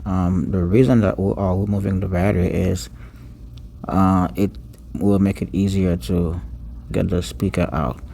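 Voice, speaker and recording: Deep mellow guy walking thru a repair step by step, kinda halting, some noise behind him. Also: fairly even intonation, vocal fry. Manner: halting, pausing mid-sentence, repeats words. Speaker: phone repair technician giving a tutorial. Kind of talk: unscripted step-by-step repair narration.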